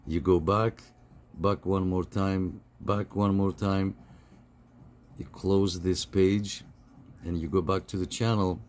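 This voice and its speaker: A middle-aged man giving a casual, halting, step-by-step phone app tutorial over some background noise. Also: deep voice, level tone, a little mellow. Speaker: tutorial host.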